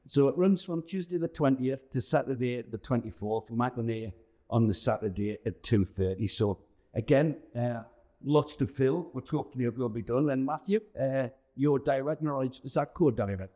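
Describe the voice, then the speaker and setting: Mellow man interviewing. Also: mid-range voice, brisk. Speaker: host interviewer for a town TV channel. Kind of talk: on-location interview.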